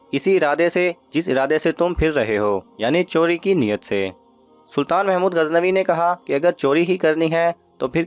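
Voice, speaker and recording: A man's voice: a little high, no room sound. A man narrating a scripted moral story for children, as a storyteller. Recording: muffled audio with music underneath. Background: music.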